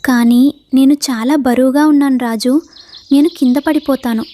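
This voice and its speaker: A woman's voice: high-pitched, steady tone, normal speed, warm tone, slight background noise, lightly acted. A woman voicing an anxious, earnest young female bird in a cartoon story. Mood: fearful. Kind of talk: cartoon moral story dialogue.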